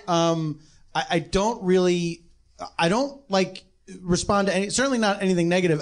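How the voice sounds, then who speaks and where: High-voiced man chatting casually. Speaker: podcast panelist. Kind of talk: casual conversation.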